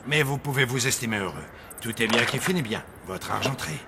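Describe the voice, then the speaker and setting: A man voicing a smooth-talking crook who poses as a police inspector in a French cartoon. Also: mid-range voice, lively intonation, fairly quick, noisy background. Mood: self-assured.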